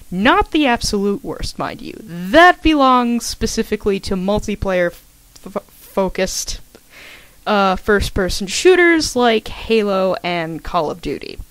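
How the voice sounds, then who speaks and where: A woman's voice: medium pitch, a bit bright, dry recording. A woman channel host giving a chatty, scripted introduction to listeners, informal and full of self-aware asides. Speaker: host of a gaming channel, fan fiction writer introducing a podfic.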